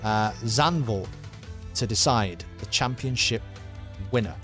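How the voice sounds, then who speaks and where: A man commentating a sim race and signing off his stream, excitable and rambling, with his voice wearing thin after a long session. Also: medium pitch, takes it slowly, soft background music. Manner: excited, rambling, with many uh fillers. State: losing his voice.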